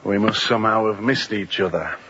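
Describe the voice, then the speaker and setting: An actor playing a ship's second mate, dutiful and formal with a dryly insolent edge, in radio drama style. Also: male voice, fairly low pitch, fairly quick, lo-fi sound, noisy background, lightly acted. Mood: formal, dryly teasing.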